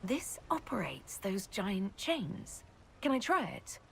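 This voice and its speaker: A woman's voice: mid-range voice, big pitch swings, slow, creaky, slight background noise, full character voice. A woman playing a playful, composed heroine and leader in a video game, with a poised, teasing delivery.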